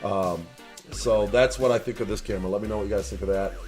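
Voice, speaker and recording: A young man from the Chicago area chats to camera in a fairly low voice. He rambles, restarts his sentences and fills gaps with "uh" and "you know". Faint music plays behind him. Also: steady tone, quick pace, a little mellow. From Chicago area, USA. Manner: rambling, many fillers and restarts, asks viewers for advice. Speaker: vlogger and online business owner. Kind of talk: casual talk to camera.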